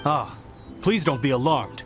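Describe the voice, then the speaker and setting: A man's voice: medium pitch, animated, unhurried, natural voice. A man voicing a dutiful, courteous soldier in a video game, formal and reassuring. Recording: game music behind.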